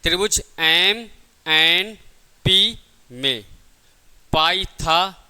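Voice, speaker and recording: A man's voice: high-pitched, very slow, bright, forceful tone, clear, resonant tone. A man teaching a lesson step by step, didactic, often asking questions and answering them himself. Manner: didactic, repeatedly asks and answers own questions. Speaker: physics teacher. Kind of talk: classroom lesson, step-by-step explanation.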